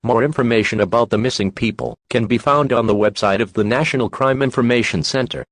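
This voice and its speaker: A man playing an emergency broadcast announcer reads in a formal, measured manner. The voice is impersonal and mid-pitched, recorded close and dry. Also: dry recording, natural voice. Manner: flat, formal, measured delivery. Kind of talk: formal scripted emergency alert.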